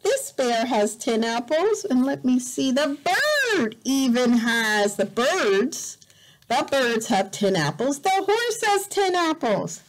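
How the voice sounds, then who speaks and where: A middle-aged woman reads to young children like a teacher. Her voice sits fairly high and swings widely in pitch. She goes very slowly and deliberately, repeating and explaining simple words with expressive emphasis. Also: a little hiss or hum, poor audio. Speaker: volunteer teacher reading a picture book to young learners. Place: indoor room at home.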